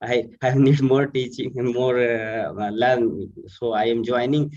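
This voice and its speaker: A man's voice: mid-range voice, fairly even intonation, takes it slowly, noisy background. A man from India whose native language is Urdu, a student speaking hesitantly with many fillers in an online session. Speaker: student participant. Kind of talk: online panel contribution. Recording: distant muffled online audio.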